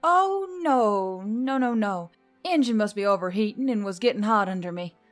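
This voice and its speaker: A woman voicing a feisty, irritable young woman biker with a loud, sarcastic, snappy delivery. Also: mid-range voice, lively intonation, moderate pace, soft background music, lightly acted. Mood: flustered.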